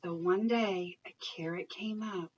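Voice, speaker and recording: A woman reading a picture book aloud as an educator, talking to children and parents in an expressive, encouraging, questioning way. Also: fairly low pitch, unhurried, recorded from a distance, muffled recording, a little hiss or hum.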